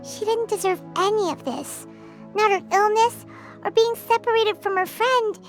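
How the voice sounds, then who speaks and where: An actress playing a tiny, childlike, excitable fairy companion in a video game, with a squeaky way of talking. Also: female voice, moderate pace. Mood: worried. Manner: squeaky, speaks of herself in third person. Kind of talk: English video game voice acting. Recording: music behind the voice. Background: tinkling game music.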